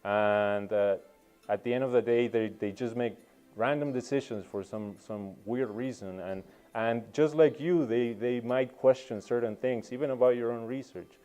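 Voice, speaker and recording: A man's voice: mid-range voice, moderate pace, dark tone, soft background music. A young man from Peru, a student talking through his research in an interview, thoughtful and full of ums and uhs. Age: young adult. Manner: reflective, many ums and uhs. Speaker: anthropology student.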